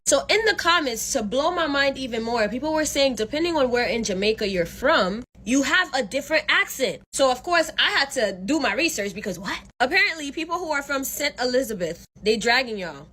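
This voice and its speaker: A woman from Haiti talking through a casual reaction vlog, animated and slangy, explaining and exclaiming as she goes. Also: high voice, brisk, hardly any pauses, slight background noise. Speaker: vlogger reacting to clips.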